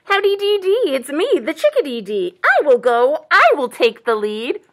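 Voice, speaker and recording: A woman's voice: high-pitched, huge pitch swings, moderate pace, a bit bright, pitched above the performer's own voice, full character voice. A woman voicing a brave, eager little chickadee in a sing-song, rhyming storybook manner.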